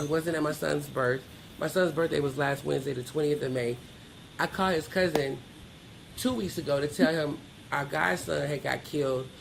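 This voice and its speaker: A woman's voice: sits low, vocal fry, fairly bright tone, slight background noise, rough recording. A woman, middle-aged, telling her personal story candidly as an interview guest, emphatic and plain-spoken, often acting out quoted dialogue. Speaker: podcast guest.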